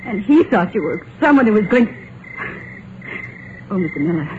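An actress playing an anxious wife in a 1950s radio drama, speaking in a tense, pleading way. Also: female voice, mid-range voice, very animated, moderate pace, close to an everyday voice. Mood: upset. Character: anxious wife of a frightened man. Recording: dull, hissy old radio broadcast.

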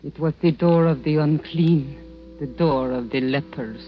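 An actor playing an urgent, pleading guide who hides a fugitive, in 1940s radio style. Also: male voice, on the high side, a little slow, natural voice. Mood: hushed, urgent. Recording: muffled old radio broadcast with music.

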